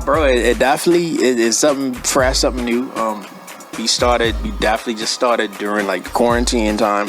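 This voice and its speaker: A young man with a Bahamian accent chatting casually as an interview guest, in a laid-back, slangy way. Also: fairly low pitch. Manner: laid-back, slangy, frequently says bro and you know what I mean. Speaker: interview guest, former athlete and brand owner. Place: studio. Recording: music playing behind the voice. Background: music.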